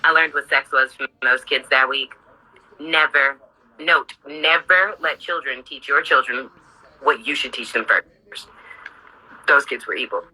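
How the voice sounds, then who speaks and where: A woman with a husky voice reading her own memoir aloud informally, candid, sardonic and sweary, breaking off for asides. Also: very deep voice, unhurried, pauses often, sharp tone, rough recording.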